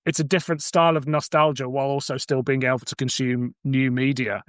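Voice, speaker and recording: A man's voice: medium pitch, little pitch movement, fairly quick, a bit bright, no room sound, studio quality. A man chatting casually on a podcast, musing informally as he talks. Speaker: podcast speaker. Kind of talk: casual chat.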